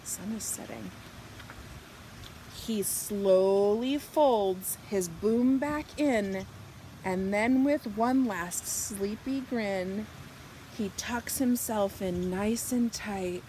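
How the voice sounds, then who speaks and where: Lady reading a kids bedtime book super slow and expressive, bit of room noise behind her. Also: medium pitch, rough recording. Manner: slow, animated delivery with drawn-out words and hushed, whispered good nights. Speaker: children's storybook reader. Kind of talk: expressive picture-book read-aloud for young children.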